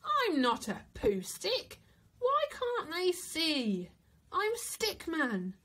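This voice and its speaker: A woman voicing an indignant, homesick little living stick man from a storybook, with emphatic, drawn-out protests. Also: very high-pitched, huge pitch swings, fairly bright tone, voice pushed up, full character voice.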